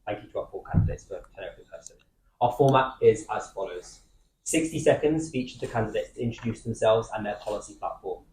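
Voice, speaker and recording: A young man moderating a student debate, explaining the format quickly with some fillers. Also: on the low side, animated, a little mellow, echoey room, a little hiss or hum, rough recording. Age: young adult. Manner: quick, slightly halting with fillers.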